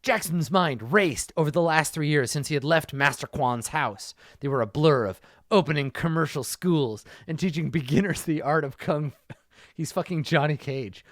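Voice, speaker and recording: A man's voice: on the high side, normal speed, fairly bright tone, no room sound, studio quality. A man reading a story aloud for laughs, stopping often to laugh and make profane, mocking asides. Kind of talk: comedic reading with commentary.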